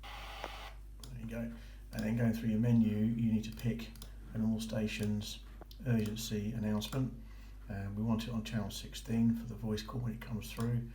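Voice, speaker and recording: A man's voice: fairly low pitch, little pitch movement, moderate pace, warm tone. A man giving a casual, informal, approving walkthrough as a vlogger. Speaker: vlogger documenting a boating course. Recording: roomy echo with faint noise.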